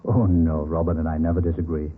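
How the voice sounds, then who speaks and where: Mild old man, talks quick but hesitant, repeats himself, old radio drama with hissy noise. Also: rumbling low, flat delivery. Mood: wistful, resigned. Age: older. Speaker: actor in a radio crime drama. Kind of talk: vintage radio drama acting. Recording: tinny, noisy vintage radio recording.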